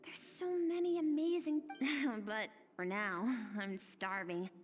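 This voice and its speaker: Cheeky restless kid girl for a game, super lively pitch, big reverb. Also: slow. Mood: wistful, dreamy. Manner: eager, cheeky, dramatic. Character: restless, cheeky young girl dreaming of adventure. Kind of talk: video game voice acting. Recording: long reverb, music underneath.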